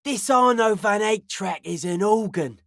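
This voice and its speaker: A man's voice: high-pitched, animated, a little slow, vocal fry, fairly bright tone, no echo, heavily acted. An intense young male raver and carpenter for a video game. He talks in blunt, clipped pronouncements, half street slang and half philosophy. The recording is close and dry. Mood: dogmatic, dismissive. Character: Noid, intense young male anodic-dance-music zealot and carpenter. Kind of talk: video game character voice acting.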